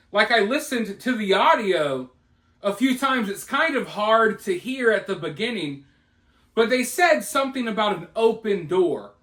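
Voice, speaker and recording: Male news vlogger, high register, casual opinionated commentary, recorded with noticeable room echo. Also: a bit bright. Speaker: host of a news commentary vlog. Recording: roomy, echoey voice recording.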